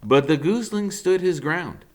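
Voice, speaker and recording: A man reading a children's novel aloud in a theatrical, emphatic storytelling style, with dramatic pauses. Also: fairly high pitch, lively intonation.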